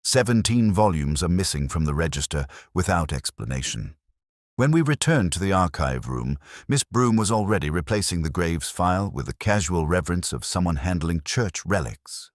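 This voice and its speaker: A man with an extremely deep voice narrates a literary detective story. His delivery is measured and brooding, built from deliberate short phrases with expressive swings in pitch. The sound is close and dry. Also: dry recording. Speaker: audio drama narrator.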